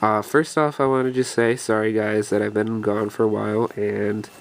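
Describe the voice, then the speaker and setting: A man's voice: lowish voice, flat delivery, normal speed, creaky. A young man with a cold, sounding congested and short of breath, rambling through a card deck walkthrough with many ums and restarts. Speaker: deck profile video host. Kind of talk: casual game deck walkthrough.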